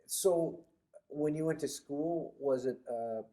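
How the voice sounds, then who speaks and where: A man's voice: on the high side, takes it slowly, mellow, rough recording. A man interviewing an elderly veteran for an oral history project, asking hesitant, stammering questions.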